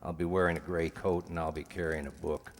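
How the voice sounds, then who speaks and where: An actor playing a confident, calculating drug dealer in a radio crime drama, deliberate and oilily polite. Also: male voice, deep voice, flat delivery. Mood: precise, controlled. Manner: deliberate, insistently precise, oily courtesy. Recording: reverberant hall.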